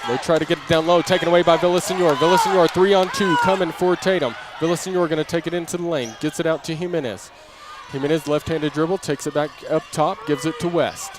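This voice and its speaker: A man's voice: on the high side, steady tone, fast talker. A man calling live basketball play-by-play in clipped running phrases, following each move as it happens. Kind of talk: live radio-style play-by-play commentary. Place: indoor basketball gym during a game. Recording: crowd and gym noise behind. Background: crowd, bouncing basketball.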